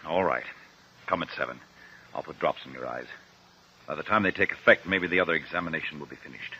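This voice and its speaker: An actor playing a stern, protective eye doctor in a 1950s radio drama, blunt and firm. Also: male voice, extremely low pitch, fast, bright, forceful tone, full character voice. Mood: grave, concerned. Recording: dull, noisy 1950s radio recording.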